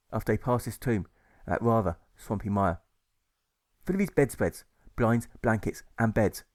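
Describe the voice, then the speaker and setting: A man's voice: lowish voice, a little mellow, no echo. Male narrator, dry close sound. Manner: rhythmic, emphatic on rhymes and repeated sounds. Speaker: author reading his own audiobook. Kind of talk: scripted rhyming narration.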